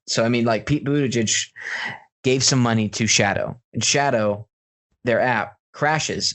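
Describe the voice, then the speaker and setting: Mid-pitched man explaining casually on a podcast. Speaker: podcast co-host.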